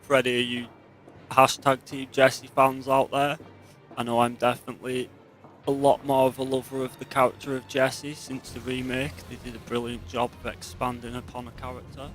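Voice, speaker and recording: A man talks through a game in a casual let's play style. His pitch stays level and even, with little rise or fall. Faint music plays behind him as he explains things to viewers. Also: normal speed. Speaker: gameplay commentator. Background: video game music.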